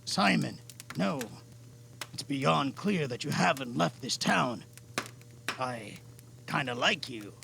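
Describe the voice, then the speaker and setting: A man playing a weary, cautious older mentor in an amateur audio drama, speaking in a measured, grave, gravelly way. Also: mid-range voice, expressive, full character voice. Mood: urgent, concerned. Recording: noisy amateur mic with clicks.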